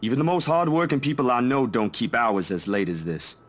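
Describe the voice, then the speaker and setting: A man acts out a protective, concerned male friend in a visual novel. His mid-to-natural voice moves widely in pitch, earnest and soothing. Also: big pitch swings, a little fast, natural voice.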